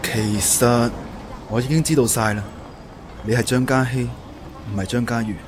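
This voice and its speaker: A man's voice: medium pitch, fairly even intonation, normal speed, a little hiss or hum, natural voice. A man playing an earnest, emotional young man in a radio drama, speaking with a Hong Kong Cantonese accent. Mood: resigned. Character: earnest, regretful young man confronting his love.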